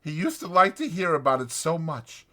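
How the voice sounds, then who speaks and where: Man acting a weary, forceful ranch worker. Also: on the high side, very animated, normal speed, voice pushed up. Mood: hopeless. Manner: blunt ungrammatical rural working-man speech. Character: weary, resigned male ranch worker, protective and firm. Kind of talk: dramatized audiobook character voice.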